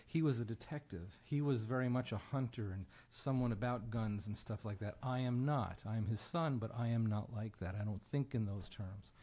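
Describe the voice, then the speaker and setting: A middle-aged American man talking conversationally as an interview guest, reflective and pausing as he goes. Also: fairly low pitch, mellow. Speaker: guest singer-songwriter and radio show host.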